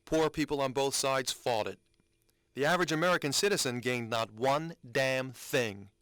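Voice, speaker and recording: Guy doing a blunt indignant war critic, middle pitch, dramatic reading, no room echo. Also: moderate pace, a bit bright, clear, resonant tone, studio quality, close to an everyday voice. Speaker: reader. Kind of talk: dramatic reading of written commentaries.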